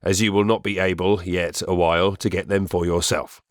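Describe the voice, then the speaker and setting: A male actor plays a cold, severe stepfather in an audiobook drama. His voice is low and very dry, and he speaks with grave, pompous deliberation, as one used to being obeyed. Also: flat delivery, fairly quick, no room sound, lightly acted. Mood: cold, brisk. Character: stern, cold middle-aged stepfather, severe and domineering.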